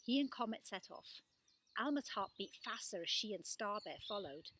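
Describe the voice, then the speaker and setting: A woman reading a children's storybook aloud as a storyteller, with expressive, dramatic delivery. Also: medium pitch, moderate pace, slight background noise.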